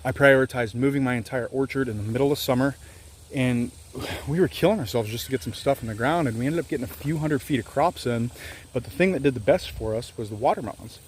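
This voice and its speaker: A man's voice: mid-range voice, fast talker, slight background noise. A man in his thirties, an organic farmer, chatting candidly in a rambling, self-deprecating way while filming himself outdoors on his farm. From Louisiana, US. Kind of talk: casual farm vlog. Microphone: handheld camera at arm's length.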